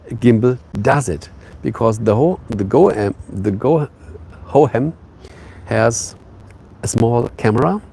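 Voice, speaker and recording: Gravelly-voiced man chatting live. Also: medium pitch, warm tone, a little hiss or hum. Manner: hesitant, halting, many fillers and restarts. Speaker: live streamer. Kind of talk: casual live stream chat. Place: outdoors. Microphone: phone on a tripod.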